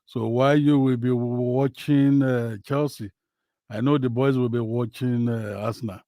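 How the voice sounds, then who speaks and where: Nigerian male streamer, slow-paced, hesitant, chatting about football, dry room. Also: medium pitch, steady tone, mellow, no echo. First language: Yoruba. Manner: slow, hesitant, many fillers. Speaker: livestream host. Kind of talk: casual football talk livestream. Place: home, in Western Australia.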